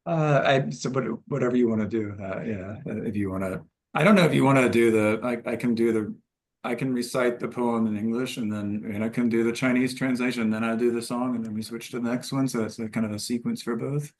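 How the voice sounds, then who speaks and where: A man, a poet and professor, explaining informally before a recital with halting, self-correcting phrasing. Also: mid-range voice, fairly even intonation, a little mellow.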